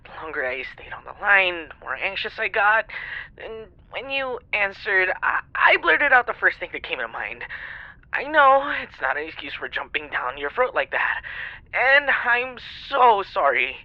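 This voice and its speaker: A man's voice: high-pitched, very animated, normal speed, dry recording. A man playing a flustered, apologetic young stranger on a phone call, rambling and hesitant in an intimate ASMR roleplay style. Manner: hesitant, stammering, sighing, rambling.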